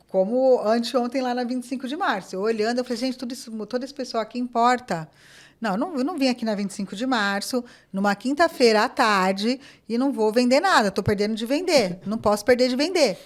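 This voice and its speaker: Woman, lively podcast guest, slightly high voice, storyteller who acts out dialogue. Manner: animated, acts out quoted dialogue, many fillers. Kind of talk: casual interview storytelling.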